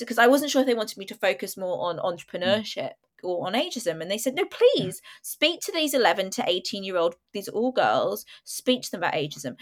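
A woman hosting an interview, with a loud and lively way of talking. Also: mid-range voice. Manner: loud, lively, asks long questions. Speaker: interview host. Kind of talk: conversational interview.

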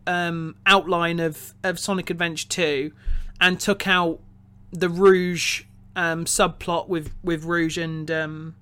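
A man co-hosting a review podcast, talking casually and analytically, often rephrasing haltingly as he thinks aloud. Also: high voice, bright, forceful tone. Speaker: podcast co-host reviewing a film.

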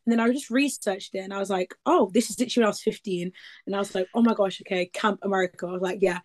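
Twenties woman, casual rambling guest, mid-pitched voice. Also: very fast, darkish tone. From East Midlands, England. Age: 20s. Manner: chatty, rambling with many likes and ums. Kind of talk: casual interview chat.

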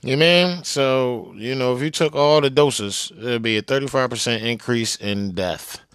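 A man's voice: medium pitch, measured pace, noisy background. A man hosting a show with casual, blunt, slangy commentary on clips. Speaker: show host.

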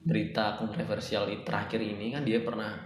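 A man's voice: on the low side, flat delivery, fairly quick, reverberant, noisy background. A young man with a Javanese-tinged Indonesian accent, co-hosting a casual chat, agreeable, often chiming in and laughing easily. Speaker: podcast co-host.

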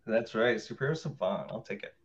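A man's voice: lowish voice, lively intonation, quick pace, muffled recording. A man co-hosting a casual podcast chat, cheeky and teasing, laughing a lot. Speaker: podcast co-host.

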